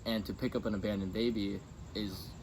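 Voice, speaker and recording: A man's voice: lowish voice, steady tone, fairly quick, a crowd in the background. A young man as an interview guest, casually telling a personal story with hesitant, informal phrasing. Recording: clearly noisy background.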